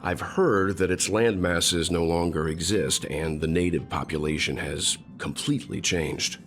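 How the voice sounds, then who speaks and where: Deep-voiced, dignified older mentor. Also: male voice, a bit bright, faint music behind. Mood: thoughtful. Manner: measured, encouraging. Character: wise, dignified older man, encouraging mentor. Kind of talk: video game character dialogue.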